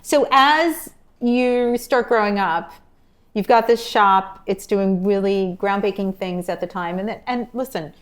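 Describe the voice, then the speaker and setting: A woman hosts a conversational interview, drawing her guest out with questions and frequent mm-hmms. Her voice sits at an ordinary pitch for a woman, and she talks in an engaged, chatty way. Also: unhurried. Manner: engaged, prompting, frequent backchannel. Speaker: podcast host.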